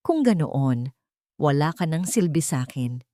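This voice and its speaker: A woman's voice: deep voice, big pitch swings, unhurried, dark tone, dry recording. An audio drama villainess, put on by a woman narrator: a rich, cruel woman who rules by threat. She accuses and commands with menace, in a dry, close recording. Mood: cold, menacing.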